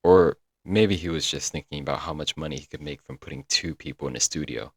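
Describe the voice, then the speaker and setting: A man's voice: extremely low pitch, brisk, slightly rough, dry recording, exaggerated voice. A man playing a doting, apologetic young yandere kidnapper in a comedic roleplay audio drama, coaxing and rambling intimately to the listener. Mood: rambling, defensive. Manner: coaxing, rambling, pet names, nervous chuckles.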